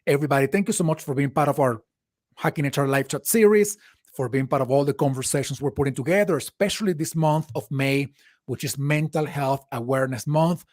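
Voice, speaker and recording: A man's voice: mid-range voice, brisk, a little mellow, dry recording, studio quality. A man hosting a live interview chat, asking long, earnest, reflective questions with frequent restarts. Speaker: host.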